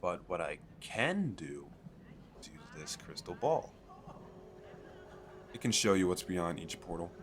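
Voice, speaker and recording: A man playing an eager, chatty fantasy merchant in an audio roleplay, talking the listener through a sales pitch in a hesitant, rambling, persuasive way. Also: sing-song, a little slow, long pauses, a little hiss or hum. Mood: coaxing. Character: eager, chatty male fantasy merchant selling magical crystal castles.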